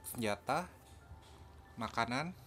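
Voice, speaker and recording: A man's voice: medium pitch, expressive, takes it slowly, bright, forceful tone, faint music behind. A man with an Indonesian accent giving casual commentary on a video game he is playing. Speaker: gaming video host. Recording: clear background noise, game ambience.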